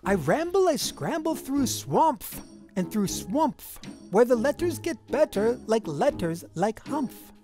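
Female children's storyteller, high register, swooping expressive delivery, theatrical sing-song rhyme, music underneath. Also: slow. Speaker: storybook reader. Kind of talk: expressive read-aloud of a rhyming children's picture book. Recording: music behind the voice.

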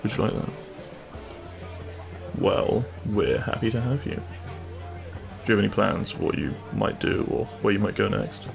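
A man playing a cheeky, kind-hearted bandit prince in an intimate audio roleplay, with a gravelly voice and a teasing, reassuring manner. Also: lowish voice, expressive, measured pace, long pauses, music in the background, heavily acted. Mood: tender, flirtatious.

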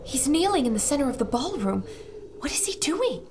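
Female, plucky on-the-scene reporter for pulp radio drama acted, high, animated voice, heavy background noise. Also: brisk. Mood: tense. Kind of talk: old-time pulp radio drama acting. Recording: very noisy sound-effect ambience.

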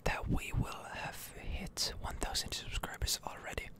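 A person whispering ASMR commentary over a video game, rambling a little and repeating words, close to the microphone. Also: unhurried. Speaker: gaming streamer, ASMR video host.

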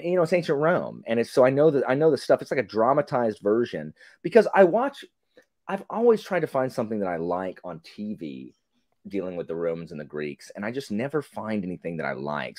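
A man's voice: lowish voice, fairly quick, dry recording, clean studio sound. A man in his forties chatting casually on a video call as a podcast guest, rambling and interrupting himself. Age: 40s. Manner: animated, rambling, self-interrupting.

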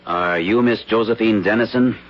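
A man's voice: lowish voice, moderate pace, natural voice. An actor playing a stern, matter-of-fact male intelligence agent in a 1970s radio drama. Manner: curt, cool, matter-of-fact. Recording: muffled old radio broadcast.

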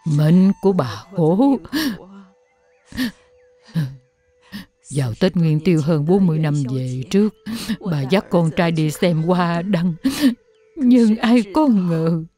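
Woman doing a frail old beggar granny, sad and lamenting, lowish soft mellow voice, cartoon dub, faint music behind. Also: measured pace, close to an everyday voice. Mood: grieving. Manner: plaintive, lamenting. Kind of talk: animated drama dub acting.

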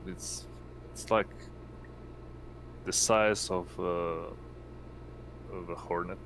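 A man running a tabletop roleplaying game as game master, halting and searching for words as he narrates. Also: low-pitched, steady tone, fairly bright tone, a little hiss or hum.

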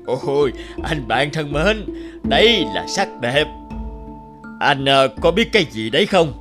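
Smug, theatrical landlord, higher-pitched. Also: male voice, very animated, a little slow, music in the background. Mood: smug, mocking. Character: eccentric middle-aged landlord, smug riddle-setting bully. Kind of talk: cartoon fairy-tale voice acting.

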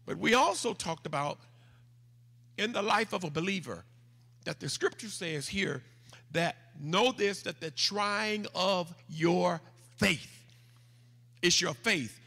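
A man in his forties with a Southern US accent, preaching a sermon at a pulpit with a handheld mic and reading scripture slowly and deliberately. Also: sits high, expressive, vocal fry, sharp tone, husky. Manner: leads the congregation in call-and-repeat, reads scripture slowly and deliberately. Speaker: pastor. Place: large church sanctuary, standing at a pulpit. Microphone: handheld microphone. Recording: church hall with long reverb, faint music.

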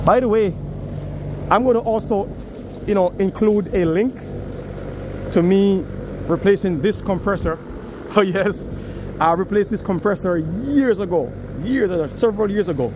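Ny hvac guy filming himself on a job, kinda high voice, chatty, lots of outdoor noise behind him. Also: moderate pace, pauses often. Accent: New York. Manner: casual, explains step by step and addresses viewers directly. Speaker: HVAC technician. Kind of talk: on-the-job video walkthrough. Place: outdoors beside a house at an air conditioner unit.

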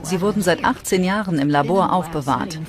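A woman's voice: fairly low pitch, fairly quick, close to an everyday voice. Woman voicing an earnest female investigator. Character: female investigator recounting a reopened case. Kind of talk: documentary voice-over dub. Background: prominent music.

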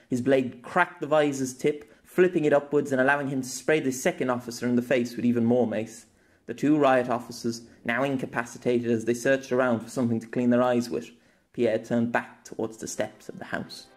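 A man's voice: mid-range voice, fairly even intonation, moderate pace. A man reading dramatic scripted narration as an audiobook narrator.

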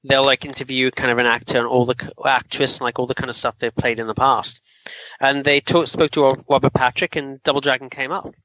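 A man's voice: medium pitch, level tone, brisk, sharp tone, highs cut off. A man talking casually as a co-host on a film review podcast.

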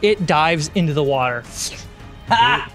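A man acting as game master, narrating a tabletop roleplaying game with dramatic pauses. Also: fairly high pitch, expressive. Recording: loud cinematic music behind. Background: cinematic music and sound effects.

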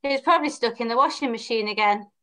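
A woman's voice: fairly high pitch, fast, pushed and bright. A young woman playing a cheeky, idle teenage son in a pantomime read-through, with a questioning manner. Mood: offhand. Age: young adult. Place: room at home, video call.

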